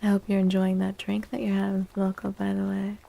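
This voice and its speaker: A woman livestreamer doing soft-spoken ASMR, gently chatting to viewers in a hushed voice that often slips into whispers, close to the microphone. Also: mid-range voice, monotone, fairly quick, gravelly. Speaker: livestreamer hosting an ASMR stream.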